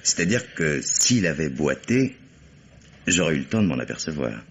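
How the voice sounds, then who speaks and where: An actor playing a proper, guarded husband under police questioning in a radio mystery, formal and evasive. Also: male voice, a bass voice, very animated, moderate pace, creaky, a little hiss or hum, exaggerated voice. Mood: defensive. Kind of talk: French radio mystery drama acting. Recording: dull, band-limited radio drama recording.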